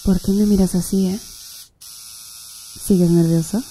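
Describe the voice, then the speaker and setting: A woman's voice: medium pitch, steady tone, a little slow, mellow, a little hiss or hum, natural voice, poor audio. A woman playing a flirtatious, teasing female tattoo artist in an ASMR roleplay, murmuring breathily and seductively to the listener. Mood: seductive, intimate. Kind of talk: Spanish-language ASMR roleplay.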